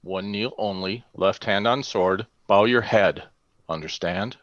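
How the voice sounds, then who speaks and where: A man's voice: on the low side, a little slow, a bit bright, no echo, lo-fi sound. A man playing a stern, terse warrior mentor in a fantasy script read, speaking in a clipped, commanding, dry way. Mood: stern, instructing. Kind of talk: table read of a fantasy screenplay.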